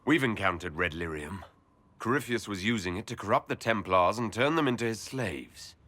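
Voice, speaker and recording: Commanding fantasy leader; husky edge, animated intonation, direct and serious. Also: male voice, fairly low pitch, normal speed. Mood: serious, probing. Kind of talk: video game voice acting.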